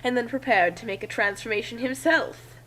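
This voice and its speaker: A woman's voice: a little high, brisk, sharp tone. A woman reading a story aloud as amateur dramatic narration. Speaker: narrator and co-reader.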